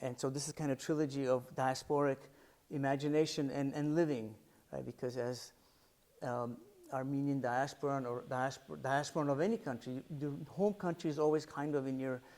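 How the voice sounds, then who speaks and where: A man's voice: mid-range voice, steady tone, a little fast, a little mellow. A middle-aged man giving a talk about his photographs, thoughtful and searching for words, with frequent restarts and fillers. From United States. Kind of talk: artist talk presenting photographs. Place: library art center talk.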